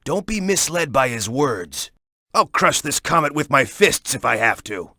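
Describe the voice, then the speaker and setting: Game role: tough young action hero, brash and urgent, forceful animated delivery, dry close sound. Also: male voice, mid-range voice, a little slow, dry recording, full character voice. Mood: wary, serious, then fierce, determined. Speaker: video game voice actor. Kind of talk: video game character voice clips.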